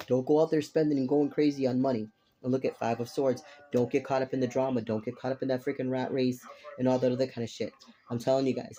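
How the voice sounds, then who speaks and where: A man with a raspy voice giving a rambling, blunt tarot reading at a table, without a dedicated microphone. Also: medium pitch, mellow. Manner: rambling and blunt, with casual swearing. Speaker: tarot reader. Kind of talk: casual tarot reading to camera. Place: indoors at a table covered with cards.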